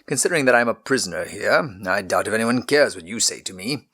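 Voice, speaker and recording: A man's voice: fairly low pitch, fast talker. A man playing a jovial imprisoned lord. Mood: wry. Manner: calm, patient, gently teasing. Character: good-humored imprisoned nobleman, deep voiced.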